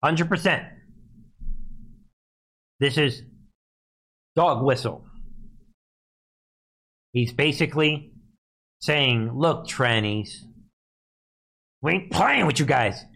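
Man, live commentary host, mid-pitched voice, emphatic, scornful, repetitive ranting delivery. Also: expressive, very slow, creaky, long pauses, pushed and bright, slightly rough. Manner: emphatic, repeats phrases for effect; scornful, mocking. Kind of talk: live political rant and commentary.

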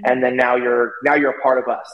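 A man with a mid-pitched voice talks as an opinionated guest commentator. He rambles through long casual explanations. The sound is echoey, with a long reverb around his voice. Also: flat delivery, fairly quick, dull audio. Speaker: guest commentator on a talk show.

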